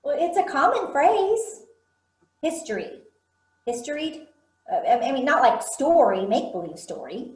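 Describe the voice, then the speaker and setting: A woman's voice: high-pitched, big pitch swings, measured pace, a little mellow, reverberant, low-quality recording. An actress playing a fussy, flustered woman chairing a meeting, hesitant and stammering, in a staged play reading over a video call.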